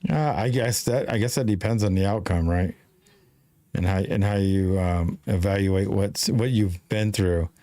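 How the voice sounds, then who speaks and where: Low-voiced man in his fifties. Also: warm tone, no echo. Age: 50s. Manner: rambling, halting, with many restarts and fillers. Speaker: show host. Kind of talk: casual live-stream conversation.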